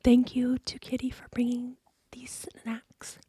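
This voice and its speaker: A woman co-hosting a podcast in a soft, breathy ASMR-style whisper, chatting close to the microphone. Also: fairly high pitch, monotone, slow pace. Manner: whispering and speaking softly. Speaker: podcast co-host.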